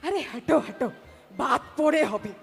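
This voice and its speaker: Woman acting a bossy loyal maid, high lively voice, Hindi-accented Bengali, blunt, stage drama, long reverb. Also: normal speed, vocal fry, music in the background. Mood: indignant. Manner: blunt, nosy, mixes in Hindi words. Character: brash, stubborn, loyal longtime housemaid. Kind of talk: dramatic play reading.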